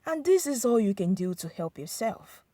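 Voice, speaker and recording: A woman's voice: mid-range voice, sing-song, moderate pace, warm tone, no echo. A woman voicing an arrogant, coldly propositioning male company boss in a folktale narration.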